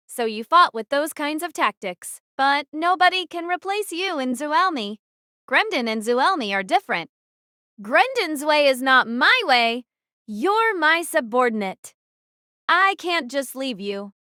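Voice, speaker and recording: A woman's voice: high voice, very animated, unhurried, sharp tone, dry recording, studio quality, heavily acted. A woman voicing a young female squad captain who gives firm, decisive orders in an audio drama. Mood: commanding. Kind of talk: fan audio drama acting.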